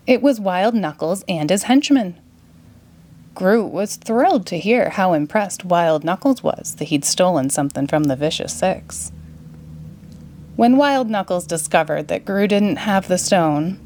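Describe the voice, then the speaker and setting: A woman narrating a children's story in a clear, expressive read-aloud manner. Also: mid-range voice. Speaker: storybook reader.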